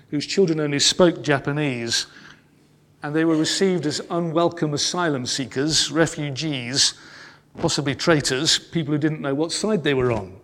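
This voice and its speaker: A man's voice: on the high side, fairly bright tone. Solemn man in a reverberant hall. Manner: measured and solemn, slowing on key phrases. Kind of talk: sermon-style storytelling. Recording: long reverb in a large hall.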